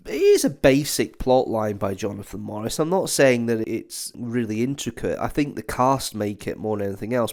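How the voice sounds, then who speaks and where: A man reviewing in a conversational, opinionated way, as commentary for a video. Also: medium pitch.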